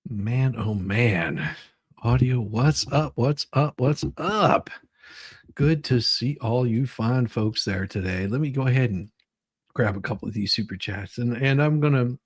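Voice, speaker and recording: A man's voice: fairly low pitch, breathy edge, no echo, clean studio sound. A man hosting a livestream with casual, rambling chat, often repeating his phrases. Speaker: livestream host.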